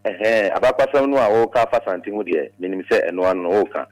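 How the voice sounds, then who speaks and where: A man's voice: on the low side, fast talker, rough recording. A Ghanaian man calling in to a radio show for a casual discussion.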